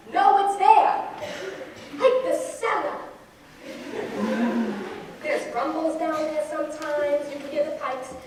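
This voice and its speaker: A woman playing a reticent, embarrassed old woman in her seventies in a conversational stage monologue, flustered and evasive. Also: high voice. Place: stage in a large echoing hall. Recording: echoey hall, distant mic, noisy.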